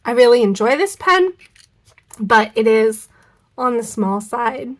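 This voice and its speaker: A woman's voice: on the high side, lively intonation, unhurried. A young woman with a stuffy, nasal voice from a cold, chatting casually to camera in her home studio, rambling and correcting herself as she goes. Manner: chatty, rambling, self-correcting with many ums and likes. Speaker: fountain pen vlogger. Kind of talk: casual unboxing chat to camera.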